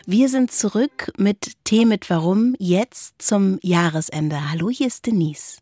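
A woman's voice: medium pitch, measured pace, dark tone, no echo, studio quality. A woman co-hosting a conversational philosophy podcast, reflective and searching for her words. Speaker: podcast co-host.

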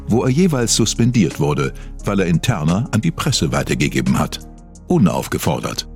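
Deep, animated male documentary narrator over music. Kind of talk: scripted mock-documentary narration.

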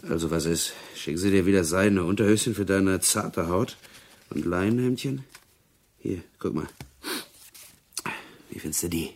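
Gravelly low-voiced jailbird cellmate. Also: male voice, normal speed, pauses often, a little mellow. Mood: teasing. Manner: teasing, colloquial, pushy. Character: crude, jovial male prison cellmate, uneducated and scheming. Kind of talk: German radio crime drama acting.

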